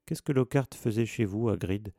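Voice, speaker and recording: Male reader doing a direct schoolboy wizard, dark, creaky tone, dry. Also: lowish voice, normal speed, no room sound.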